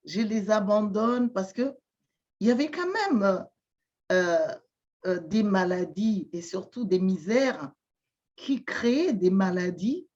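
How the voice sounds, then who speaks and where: A woman from Madagascar, whose first language is not French, answering interview questions slowly with many pauses, fillers and repeated words. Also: mid-range voice, lively intonation, a little mellow, muffled recording. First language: Malagasy. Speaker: guest, shamanic therapist.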